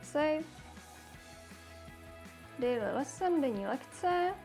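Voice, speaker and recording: High-voiced Czech woman host, breezy. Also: expressive, very slow, dark tone, faint music behind. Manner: breezy, self-deprecating. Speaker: show host. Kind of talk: informal app walkthrough.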